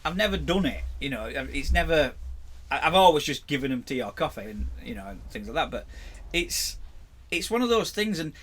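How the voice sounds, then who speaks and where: A man's voice: fairly high pitch, sing-song, brisk. A man, a podcast co-host and tattooist, chatting bluntly and often restarting his sentences. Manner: chatty, blunt, repeats words when starting sentences. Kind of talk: casual chat.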